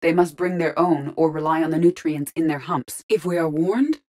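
An actress voicing a female artificial intelligence in a video game, speaking in a measured, formal, precise and detached way. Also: low-pitched, a little mellow, lightly acted. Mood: calm, analytical. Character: calm, analytical female artificial intelligence in an android body.

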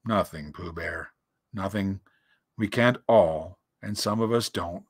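A man's voice: sits low, slow pace, husky, no room sound, clean studio sound, pitched below the performer's own voice, heavily acted. A man voicing a gloomy, self-pitying old grey donkey from a storybook, with a glum, resigned delivery. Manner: glum, sighing, flat delivery.

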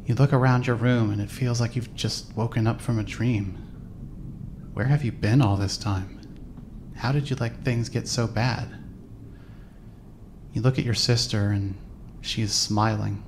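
A man's voice: medium pitch, fairly even intonation, a little slow, pauses often, background noise, close to an everyday voice. A man reading scripted second-person narration for a fan-made audio drama.

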